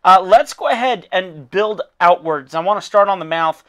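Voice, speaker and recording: A man with a hoarse, fading voice walks a student through a critique of her drawing. His pitch sits on the higher side for a man, and he talks informally, encouraging and full of fillers. It sounds like a recorded art lesson. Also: moderate pace, a bit bright. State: losing his voice. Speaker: art teacher.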